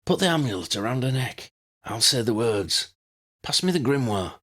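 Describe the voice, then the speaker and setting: Man acting hoarse, croaking dying young wizard. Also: medium pitch, expressive, normal speed, pushed and bright, voice pushed up. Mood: urgent, strained. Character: weak, dying, remorseful young male wizard.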